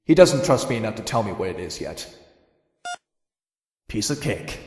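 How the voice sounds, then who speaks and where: Casting: tough young comic-book antihero, lively dry delivery, cocky wisecracks, reverberant sound. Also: male voice, lowish voice, normal speed. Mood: wry, businesslike. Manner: wry, wisecracking, dry asides. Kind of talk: motion comic dialogue and first-person narration.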